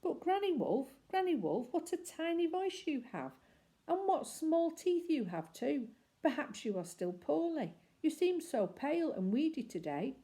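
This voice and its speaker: A woman's voice: sits high, sing-song, measured pace, mellow, voice pushed up, heavily acted. A woman voicing a sweet, polite little boy wolf in a storybook reading, talking in an eager sing-song way. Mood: cheerful.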